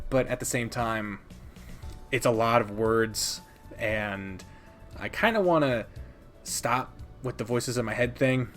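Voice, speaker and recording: A man's voice: fairly low pitch, fairly bright tone. A man hosting a casual countdown review of video games, rambling and informal, swearing freely and speaking with emphatic opinions. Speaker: video game reviewer and channel host. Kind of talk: casual countdown review commentary, partly scripted.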